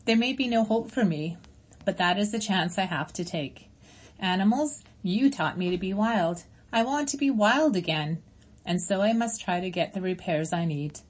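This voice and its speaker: A woman reading a gentle, wounded female robot in a storybook, measured and earnest in manner. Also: mid-range voice, husky, natural voice. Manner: measured, earnest, plainly spoken. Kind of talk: audiobook character reading.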